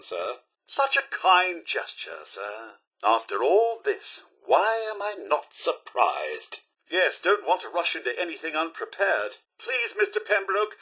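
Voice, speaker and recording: An actor playing a prim, loyal robot butler in a video game, with a British accent, a fussy formal manner and a raspy voice. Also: male voice, high-pitched, bright, forceful tone, exaggerated voice, poor audio. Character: prim, loyal male robot butler, polite and easily scandalized. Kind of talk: video game character voice lines.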